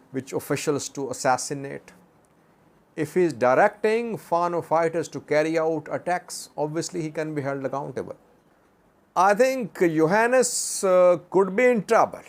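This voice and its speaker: A man giving unscripted news commentary as a channel host, speaking haltingly with many filler sounds and posing rhetorical questions. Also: a little high. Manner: halting, with long hesitations and frequent filler sounds.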